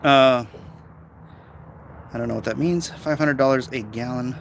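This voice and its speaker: A man's voice: medium pitch, unhurried, gravelly. An American man talking off the cuff in a casual, opinionated, emphatic way, like a vlogger chatting to viewers. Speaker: live-stream vlogger reading and answering viewer comments. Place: outdoors, in his backyard. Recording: noisy outdoor urban ambience, traffic sounds.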